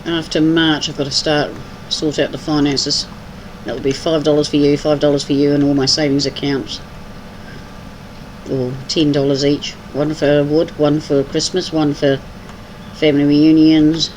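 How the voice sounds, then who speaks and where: A woman's voice: deep voice, little pitch movement, unhurried, a little mellow, rough recording. Older kiwi lady gaming on stream, bit of english in her accent, chatty, faint hum in the background. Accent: New Zealand with some English. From New Zealand. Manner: chatty, teasing. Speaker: livestream host. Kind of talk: casual chat while gaming.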